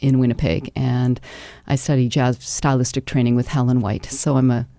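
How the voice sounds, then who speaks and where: A woman's voice: extremely low pitch, flat delivery, moderate pace, warm tone, dry recording. A Canadian woman speaking effusively as a musician guest in a live radio interview. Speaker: interview guest, singer-songwriter. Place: radio studio.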